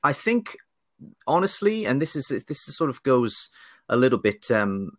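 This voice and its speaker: A man's voice: mid-range voice, very animated, normal speed, no room sound, studio quality. A middle-aged man from northeast England with a mixed accent that has a faint Geordie trace, talking in a rambling way with lots of restarts and fillers. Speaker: guest. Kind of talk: casual interview talk.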